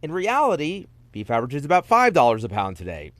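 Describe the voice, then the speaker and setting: News guy with a bouncy animated voice, dry close sound, kinda wry. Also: a little high, fairly quick, no room sound. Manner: lively, wry and playful. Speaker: news reporter. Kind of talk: scripted news package narration.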